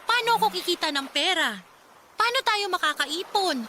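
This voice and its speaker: A woman's voice: very high-pitched, animated, moderate pace, pushed and bright, a little hiss or hum, heavily acted. A woman acting an exasperated, whiny young girlfriend who complains in a radio drama. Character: exasperated, nagging young bar-worker girlfriend.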